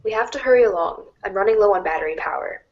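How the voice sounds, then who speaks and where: Teen girl, acted by a woman: sardonic, brisk, animated pitch, slightly dull recording. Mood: brisk, dismissive. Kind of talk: read-along storybook voice acting. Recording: dull lo-fi audio.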